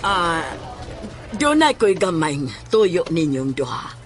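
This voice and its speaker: A woman playing a stern, probing questioner in a horror audio drama, speaking deliberately and insistently. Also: fairly low pitch, very animated. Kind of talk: Filipino audio drama acting.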